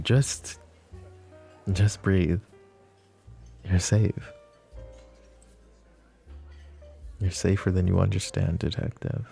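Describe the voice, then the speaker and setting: A man voicing a possessive, commanding mafia boss in a boyfriend ASMR roleplay, speaking softly and intimately to the listener. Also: deep voice, creaky, heavily acted. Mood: soothing. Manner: soft, intimate, addresses listener directly.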